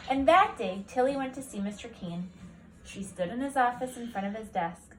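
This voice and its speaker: A woman's voice: fairly high pitch, moderate pace, faint music behind, low-quality recording. A woman narrating a children's storybook aloud expressively, like a teacher reading to children at home. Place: at a kitchen table at home.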